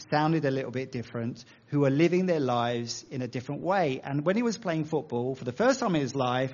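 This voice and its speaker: English male preacher, casual self-deprecating delivery, slightly high voice, dull muffled recording. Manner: conversational, self-deprecating, uses analogies. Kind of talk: sermon.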